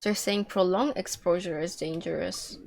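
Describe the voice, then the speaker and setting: A woman's voice: fairly low pitch, steady tone, normal speed, creaky, darkish tone. A woman game streamer giving casual, offhand live reactions in muttered asides.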